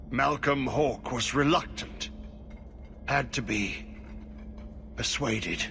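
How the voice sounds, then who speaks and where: Grim old ex-commander for a fantasy game, solemn fragments, harsh forceful edge over faint background noise. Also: male voice, medium pitch, vocal fry, exaggerated voice. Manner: clipped, solemn, urgent fragments. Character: grim, haunted old former Warden Commander. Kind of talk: dramatic video game acting.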